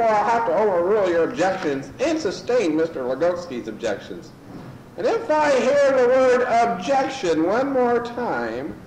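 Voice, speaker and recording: A man's voice: high voice, a little mellow. A man playing a cranky, scatterbrained judge with theatrical delivery in an amateur radio comedy. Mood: testy. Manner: theatrical, wandering off mid-ruling. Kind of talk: amateur old-time radio comedy acting. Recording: echoey room, faint noisy amateur tape.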